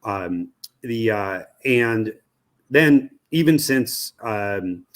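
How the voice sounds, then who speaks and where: An older American man with a fairly low voice speaks as an interviewed expert. He explains things analytically, building his sentences in halting pieces and circling back to repeat a phrase. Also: animated. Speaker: guest, media research executive.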